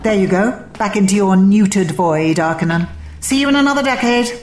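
A woman narrator voicing an eccentric, breezy male alien adventurer in a story, brisk, playful and given to lecturing. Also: medium pitch, echoey room, slight background noise, voice pushed up, lightly acted. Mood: urgent, playful.

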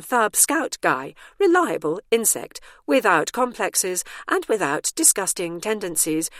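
A woman's voice: medium pitch, a bit bright, no echo. A lovesick male insectoid alien, acted by a woman, pleading in stilted, broken phrases. The storybook suitor is pushy and lusty, recorded close and dry.

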